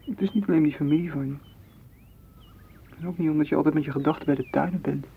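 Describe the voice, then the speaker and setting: A man's voice: fairly high pitch, fairly even intonation, fast talker, creaky. A man playing a frustrated, hurt lover, intense and reproachful, in a radio play. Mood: hesitant, hurt. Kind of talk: Dutch radio play acting. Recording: dull, faintly noisy old radio recording.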